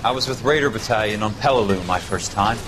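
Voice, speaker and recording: A man's voice: fairly low pitch, quick pace, music in the background, lightly acted. An actor playing a seasoned, plainspoken Marine sergeant in a war scene from a game, reassuring and fatherly. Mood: steady, reassuring. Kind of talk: video game cutscene acting. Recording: battlefield noise behind.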